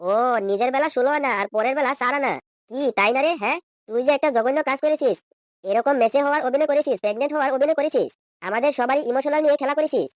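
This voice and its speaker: A man's voice: extremely high pitch, very fast, dry recording, clean studio sound. A man voicing an exasperated, insistent husband in a comedy cartoon. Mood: reproachful. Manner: insistent, questioning, reproachful. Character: exasperated, nagging cartoon husband questioning his wife.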